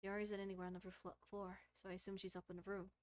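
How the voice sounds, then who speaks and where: A woman streamer reading a visual novel aloud and commenting on it, haltingly, often repeating and rereading phrases. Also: medium pitch, flat delivery, dry recording. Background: soft music.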